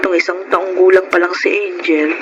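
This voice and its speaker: A woman's voice: on the low side, fairly even intonation, normal speed, crowd noise, close to an everyday voice. A woman playing a poor, anxious widowed mother with a Filipino accent, pleading and deferential, in emotional radio-style drama. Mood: subdued. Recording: noisy radio drama with background ambience.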